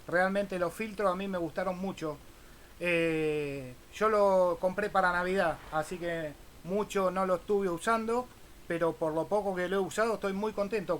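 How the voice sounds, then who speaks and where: High-pitched Argentine man on ham radio. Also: a little hiss or hum. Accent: Argentine Spanish. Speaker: amateur radio operator, channel host. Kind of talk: casual ham radio exchange with spelled-out call signs.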